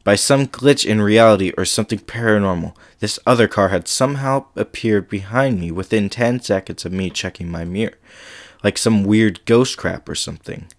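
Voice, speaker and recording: A man's voice: lowish voice, normal speed. A male podcast host with a slight cold, chatting casually with frequent ums and then reading a scary story aloud.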